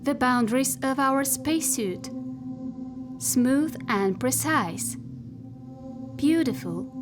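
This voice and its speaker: Woman playing a slow, possessive AI machine over music. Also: high-pitched. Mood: seductive. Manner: slow, deliberate, over-articulated, intimate and insinuating. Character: possessive, coaxing spacesuit AI machine, self-satisfied and controlling. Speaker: actor in an audio drama. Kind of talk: theatrical science fiction monologue. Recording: music behind the voice.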